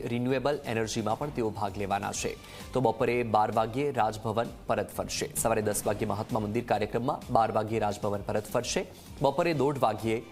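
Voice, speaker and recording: A man presenting a live news bulletin as anchor, restating points as he goes. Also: mid-range voice. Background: music bed under the voice.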